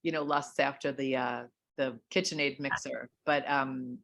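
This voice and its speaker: A middle-aged woman hosting a book interview over a video call, speaking in a measured way with many fillers and restarts. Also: extremely low pitch, level tone, vocal fry, a bit bright. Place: home room.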